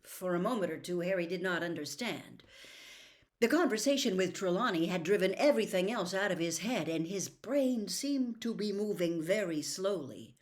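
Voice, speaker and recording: A woman narrating a story aloud in a deliberate, dramatic and expressive storybook style. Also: fairly low pitch. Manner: deliberate, expressive, theatrical reading.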